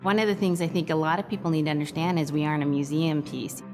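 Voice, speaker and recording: Earnest woman with a very low voice. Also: fairly even intonation, fast talker. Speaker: documentary interviewee. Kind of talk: documentary interview. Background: music.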